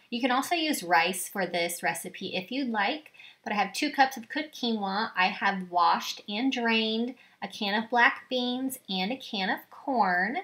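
A woman's voice: on the high side, a bit bright. A young woman explaining a recipe step by step in an upbeat, plain way, filmed in her home kitchen on a camera set some distance away. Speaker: home cooking vlogger. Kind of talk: step-by-step cooking demo. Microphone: far camera. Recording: echoey kitchen, faint hum.

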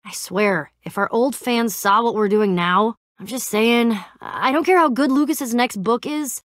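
A woman's voice: fairly high pitch, unhurried, no echo, clean studio sound, lightly acted. A young woman playing a brash, teasing but loyal warrior in a video game, with cocky, sarcastic, slangy banter. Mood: annoyed, griping. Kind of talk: animated video game character acting.

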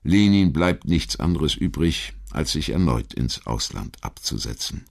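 Ein Mann, der als Erzähler einen vorbereiteten historischen Text vorträgt. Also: male voice, rumbling low, animated, measured pace, vocal fry. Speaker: documentary narrator. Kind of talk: scripted historical narration.

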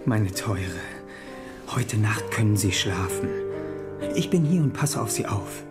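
Young doctor: earnest, anxious, animated intonation, audio drama over loud music. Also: male voice, fairly low pitch, a little slow. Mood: soothing. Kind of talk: German audio drama acting.

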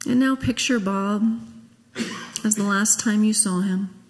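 A woman minister leading a guided meditation, speaking gently and in a measured way with long pauses. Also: medium pitch, slight background noise. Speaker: minister leading a memorial service. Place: large church sanctuary, at a pulpit. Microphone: gooseneck pulpit microphone. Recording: long echo of a church hall.

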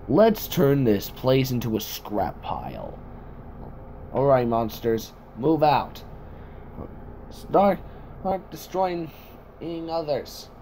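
A man voicing an excitable soldier commander in amateur sci-fi video-game voice lines, giving urgent, halting battle callouts. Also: mid-range voice, a little hiss or hum.

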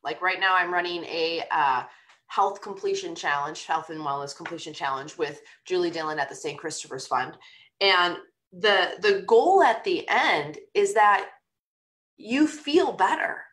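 A middle-aged woman hosting a live show, chatting casually and rambling in an upbeat way, her voice raspy from a cold. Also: lowish voice, unhurried, a bit bright. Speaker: podcast host. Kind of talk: casual live-stream chat.

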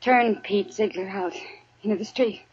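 A woman's voice: mid-range voice, normal speed, slightly rough. An American actress playing a pleading, desperate frontier woman in a 1950s radio western. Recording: dull 1950s radio recording.

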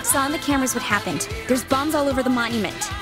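A bossy game tech expert, dry and sarcastic. She talks quickly at a slightly high pitch, over loud music. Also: lively intonation. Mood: brisk, snarky.

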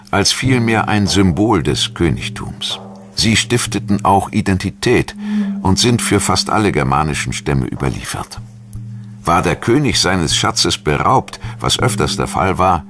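Very deep-voiced male documentary narrator. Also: fairly bright tone. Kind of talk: scripted narration. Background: background music.

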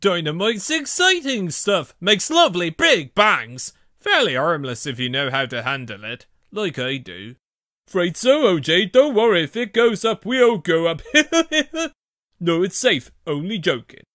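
A man playing a reckless, cheeky cartoon paddle boat, excitable and teasing. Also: high voice, a little slow, no room sound, clean studio sound, heavily acted. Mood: gleeful, teasing. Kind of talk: cartoon character voice acting.

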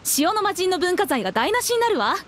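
An actress playing an earnest, proud young female archaeologist, expressive and assertive, in a game. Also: extremely high pitch, fairly quick, a little hiss or hum. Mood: indignant. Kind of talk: game voice acting.

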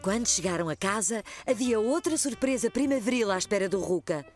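A woman's voice: mid-range voice, expressive, a little fast, darkish tone, soft background music. Lilting woman narrating a cartoon. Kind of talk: storybook narration.

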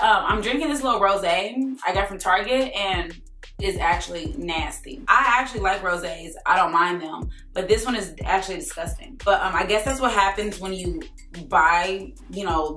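A young woman with a raspy voice, chatting casually and sassily to camera in her living room. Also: medium pitch, a bit bright, reverberant. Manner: chatty, sassy, rambling. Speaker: YouTuber. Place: living room with a Christmas tree.

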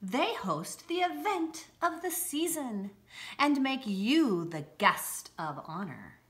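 Middle-aged woman, children's storybook reading, unhurried pace, clear emphasis, bright tone. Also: high-pitched. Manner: expressive, deliberate storytelling with emphasis. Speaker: school read-aloud presenter. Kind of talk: children's picture book read-aloud. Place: indoors, home-like room with armchairs.

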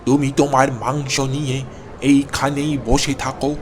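A man's voice: mid-range voice, level tone, normal speed, a crowd in the background, lightly acted. An older man's voice, a man voicing a blunt, grumpy customer in a cartoon. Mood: scoffing. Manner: blunt, scoffing. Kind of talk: cartoon voice acting.

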